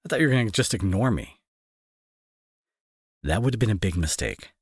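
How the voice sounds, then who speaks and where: A man's voice: lowish voice, very animated, normal speed, breathy edge, no echo, clean studio sound. A young man playing an irritable, sarcastic bully who scolds with hidden worry, in an intimate roleplay audio drama. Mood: irritated, demanding. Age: young adult. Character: irritable young male bully, gruff but secretly worried.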